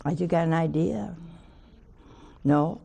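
Hoarse woman host, low, slow, folksy spiritual chat. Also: dark tone. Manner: chatty, folksy, teasing asides. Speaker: TV host, nun. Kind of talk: homely spiritual talk to a studio audience.

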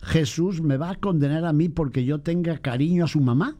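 Animated priest, mellow voice. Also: male voice, fairly high pitch, a little fast. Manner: animated, mimics voices in quotes. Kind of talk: casual chat on faith.

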